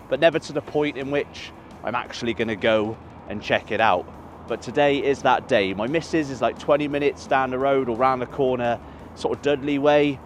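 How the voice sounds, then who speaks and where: A man, walking around a football ground and chatting casually to camera in a rambling, self-correcting way. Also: mid-range voice. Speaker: football stadium vlogger. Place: outdoors by a stadium near a motorway.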